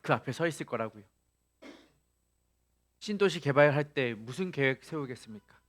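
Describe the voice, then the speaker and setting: A man's voice: medium pitch, lively intonation, moderate pace. A male pastor preaching a sermon, asking the congregation rhetorical questions and rising to emphatic peaks.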